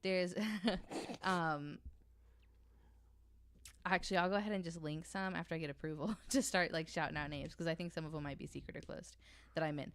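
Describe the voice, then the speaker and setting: A woman with a notably low, smooth voice in casual podcast discussion. She talks informally and hesitantly, with loose swearing, and the sound is very dry. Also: steady tone, normal speed, dry recording. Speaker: podcast panelist.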